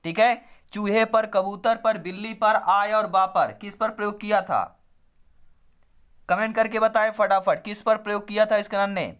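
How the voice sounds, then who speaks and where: A male coaching teacher with a high voice, hoarse from a cold. He reads out exam questions and their options, then repeats each answer, addressing his students familiarly. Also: normal speed, fairly bright tone. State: sore, hoarse throat from a cold. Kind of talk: quiz-style online lesson, reading questions and options, then explaining answers.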